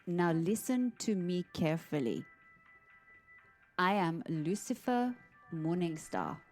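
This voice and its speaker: A woman acts out a female devil from a horror audio drama, amused and condescending. She speaks slowly and deliberately in a lowish, mellow voice, as if instructing someone dim. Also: animated, faint music behind. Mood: exasperated, patronising. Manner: deliberate, patient, patronising delivery with pauses.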